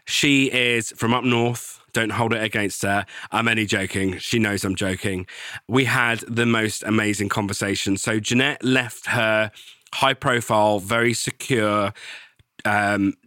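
Jokey podcast host, dry close sound. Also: male voice, fairly low pitch, steady tone, a little slow, no room sound. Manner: warm, jokey, apologetic asides. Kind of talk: podcast intro and interview. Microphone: video call.

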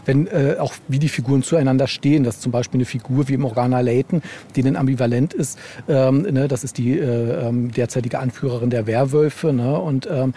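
Gravelly mellow man in a casual interview. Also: medium pitch, fairly quick, few pauses. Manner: hesitant, searching delivery with frequent tag questions. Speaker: author being interviewed.

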